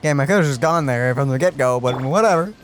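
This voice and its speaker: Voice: medium pitch, brisk, slight background noise. A let's play co-host chatting casually through gameplay with dry, grumbling asides. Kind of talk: casual gaming chat. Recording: clear game music behind. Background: video game music.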